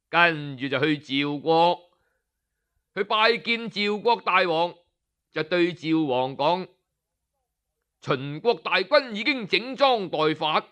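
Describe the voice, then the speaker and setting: A man telling a traditional historical tale in Cantonese, with a Cantonese accent, slowly and expressively acting out the characters' speeches. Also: high voice, clean tone. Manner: animated, slow, voices the characters' speeches. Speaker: storyteller. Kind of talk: Cantonese oral storytelling.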